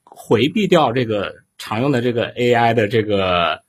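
A man's voice: mid-range voice, expressive, normal speed, husky. A man chatting casually as a panel guest, giving his opinions in a colloquial way and often checking with tag questions. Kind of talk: casual chat, offering personal opinions.

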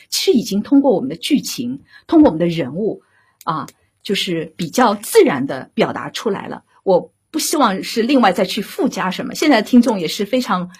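Husky, expressive woman director in discussion. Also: mid-range voice. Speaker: radio drama director, interview guest. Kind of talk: reflective discussion of creative work.

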